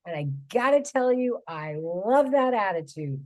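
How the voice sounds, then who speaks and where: A woman's voice: medium pitch, expressive, measured pace, a little mellow, dry recording. A woman in her fifties hosts a radio talk show with emphatic enthusiasm. Her voice is recorded close and dry, with no room sound around it. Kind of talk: radio interview hosting.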